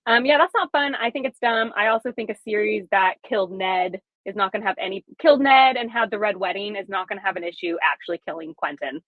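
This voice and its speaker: Female host for relaxed fan banter, wry humor, dry and narrow-band phone-like recording. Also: mid-range voice, fast talker, few pauses, dry recording. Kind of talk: casual fan chat. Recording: thin phone-like audio, very dry.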